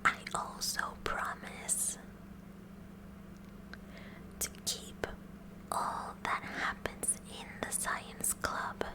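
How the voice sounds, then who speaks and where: A woman playing a kooky, eager teenage science club president in a breathy whispered ASMR roleplay, speaking in a deliberate, mock-official way. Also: low-quality recording. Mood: officious, eager. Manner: slow, deliberate, mock-official with awkward stammers.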